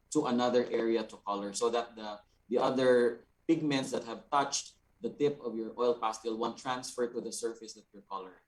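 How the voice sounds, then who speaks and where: A man with a Filipino accent teaching and answering questions over a video call in deliberate, halting phrases. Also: medium pitch, poor audio. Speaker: art instructor answering participants' questions.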